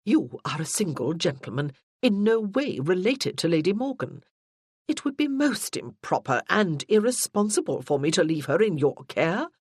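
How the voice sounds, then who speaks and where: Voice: medium pitch, sing-song, normal speed, breathy edge, no echo, studio quality. An audiobook narrator voicing an imperious older noblewoman who issues commands. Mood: scandalized. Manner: commanding, haughty.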